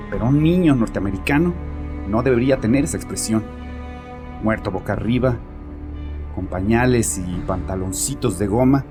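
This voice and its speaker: A man acting bitter father, slightly deep voice, defensive, confessional horror monologue, music behind. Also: natural voice. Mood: haunted. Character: bitter, harsh, troubled father confessing to a therapist. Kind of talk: dramatized horror audio story monologue. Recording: voice over background music.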